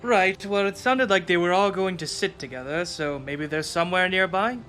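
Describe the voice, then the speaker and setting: A dry, sceptical adventurer, played by a man, speaking in a bright, fairly high voice. He needles his companion in a fantasy radio play with faint music underneath. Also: moderate pace. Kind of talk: radio-play tabletop roleplay.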